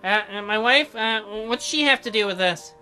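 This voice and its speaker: A man voicing a shy, gentle, awkward doctor in a visual novel, with a hesitant and mild manner. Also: high voice, moderate pace, faint music behind. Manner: hesitant, mild, softly apologetic.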